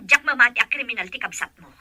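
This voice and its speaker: A woman playing a gentle, worried mother of a grown son in an audio drama, heard as if on a telephone. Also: fairly high pitch, fast, no echo. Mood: concerned. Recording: husky, sharp telephone-like sound.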